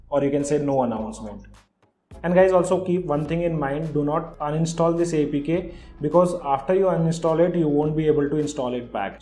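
A man's voice: a little high, mellow, roomy sound. A man hosting a tech channel, talking through a phone app demonstration in a tutorial voice-over. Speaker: tech video host. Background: background music.

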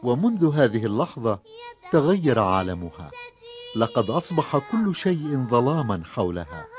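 A man playing a grave, compassionate storyteller in a cartoon, speaking in a solemn, measured way. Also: medium pitch, natural voice. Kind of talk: dramatic scripted cartoon narration and dubbing.

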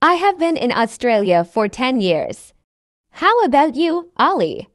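A woman's voice: high voice, very animated, a little slow, dry recording, clean studio sound. A woman reading a simple English dialogue for learners, carefully enunciated and repeating each line. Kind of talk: slow scripted dialogue reading.

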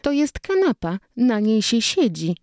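A woman's voice: on the high side, very animated, unhurried, a little mellow, no echo, clean studio sound, voice pushed up. A woman voicing a cheerful, affectionate young mother in a storybook, playful and sing-song.

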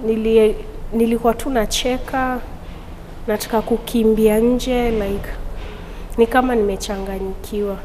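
A young woman, giving a halting personal testimony as an interview guest in a studio, talking through a lapel mic. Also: fairly high pitch, steady tone, warm tone, long reverb tail, slight background noise, rough recording. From Tanzania. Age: young adult. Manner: halting, with frequent restarts. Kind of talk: personal testimony, mixing Swahili and English. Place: indoor studio.

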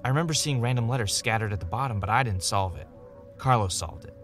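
An actor playing a jaded former reality show winner turned podcaster, blunt and world-weary, in an audio drama. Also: male voice, mid-range voice, fast, a bit bright, faint music behind, natural voice. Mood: explaining. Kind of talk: audio drama dialogue and confessional narration.

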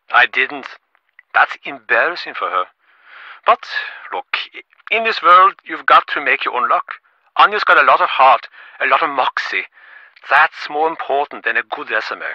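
A man's voice: medium pitch, pushed and bright, dry recording, lo-fi sound. A man voicing a smug, impatient rich businessman in a video game, glib and self-important, with stammering, sarcastic delivery. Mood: glib, defensive. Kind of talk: acted game dialogue.

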